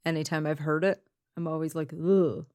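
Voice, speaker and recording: A woman's voice: low-pitched, fairly even intonation, normal speed, darkish tone, dry recording, clean studio sound. A woman co-hosting a podcast in casual chat, chatty with many fillers and frequent laughter. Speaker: podcast co-host. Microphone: headphones, studio mic.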